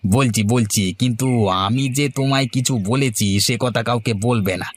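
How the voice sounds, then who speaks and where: A man's voice: mid-range voice, unhurried, lightly acted. A man voicing a crafty, self-important village advisor in a cartoon, boastful and cajoling, with rhetorical questions. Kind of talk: cartoon voice acting.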